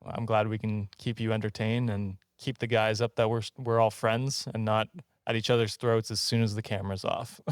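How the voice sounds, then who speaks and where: A man hosting a casual comedy podcast in a jokey, slightly halting way. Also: fairly low pitch, level tone, dry recording, clean studio sound. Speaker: podcast host.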